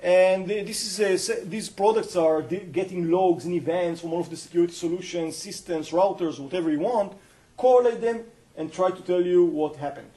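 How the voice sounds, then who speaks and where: Mellow-voiced man with an Israeli accent. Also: high voice, moderate pace. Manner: earnest, explanatory, with frequent hesitations. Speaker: company founder giving a guest lecture. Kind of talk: university colloquium talk. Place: university lecture room.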